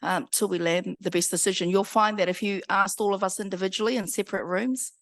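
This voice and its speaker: A woman's voice: mid-range voice, fairly even intonation, quick pace, fairly bright tone, no echo, clean studio sound. A woman, a school board co-chair, confidently summing up in a lecture Q&A. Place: parish hall.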